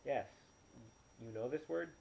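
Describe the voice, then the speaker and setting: A man's voice: mid-range voice, unhurried, vocal fry, darkish tone, voice pushed up. A man reading a story, voicing an earnest woman doctor with a direct, questioning manner. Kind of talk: audiobook character dialogue. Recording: noisy, poor-quality home recording.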